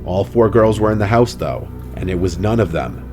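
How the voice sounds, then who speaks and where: A man's voice: fairly low pitch, animated, normal speed, darkish tone. A man reading scripted horror stories as a narrator. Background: faint music.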